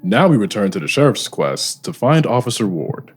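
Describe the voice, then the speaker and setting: A man narrating a scripted comic radio serial with animated storytelling. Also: on the low side, normal speed, husky, soft background music. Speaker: narrator of an audio drama.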